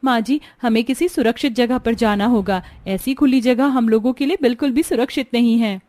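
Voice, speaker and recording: A woman's voice: on the high side, brisk, dark tone, no echo, lightly acted. A woman playing a practical young village daughter-in-law in a scripted cartoon story. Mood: worried.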